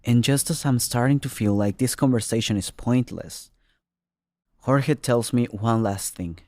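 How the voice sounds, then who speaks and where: A man's voice: medium pitch, measured pace, dark tone, no echo. A man reading a scripted first-person story as its narrator. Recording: studio narration over music. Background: music.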